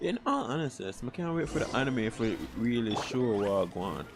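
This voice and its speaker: A man streaming a game with casual, slangy, swearing live commentary and excitable reactions. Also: medium pitch, brisk. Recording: loud game music and effects behind. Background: game music and sound effects.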